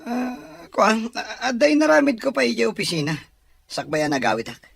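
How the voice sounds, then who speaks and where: An actor playing an evasive, cheating husband in an audio drama, sweet-talking and smooth. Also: male voice, very high-pitched, sing-song, normal speed, a bit bright, dry recording, exaggerated voice. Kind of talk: Ilocano audio drama acting.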